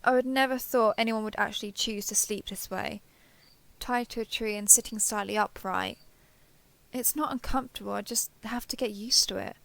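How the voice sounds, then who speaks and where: A woman's voice: mid-range voice, steady tone, normal speed, vocal fry, fairly bright tone, dry recording. A woman playing a sheltered young female alien, naive and obsessively devoted, talking earnestly and intimately to the listener in an audio roleplay. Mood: shy, fond. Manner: earnest, wheedling, over-explaining.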